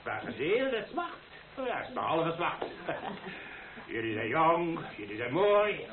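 An older, folksy father of the bride in an old radio drama. He speaks in a rather high voice, hesitant and self-deprecating, as he addresses guests. The sound is thin and narrow, with a crowd around him. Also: very animated, takes it slowly, exaggerated voice. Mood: sentimental. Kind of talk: radio play acting, rambling wedding speech. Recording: tinny band-limited old radio play, echoey crowded hall.

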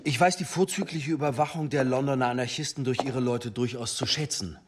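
A man's voice: mid-range voice, brisk, noisy background, natural voice. A man acting a formal, officious senior police chief in a German radio drama, politely critical. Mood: coolly critical.

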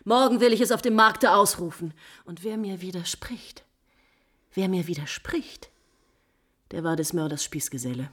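A woman's voice: lowish voice, normal speed, fairly bright tone. A woman playing a proud, scorned countess in 1950s German radio drama, bitterly mocking and agitated, moving between whispers and outcries. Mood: scornful triumph. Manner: theatrical, swinging from whispers to outcries.

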